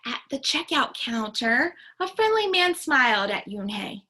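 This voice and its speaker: A woman teacher reading a picture book aloud to children with expression, pausing to explain things along the way. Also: high-pitched. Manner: expressive, pauses to explain to young listeners.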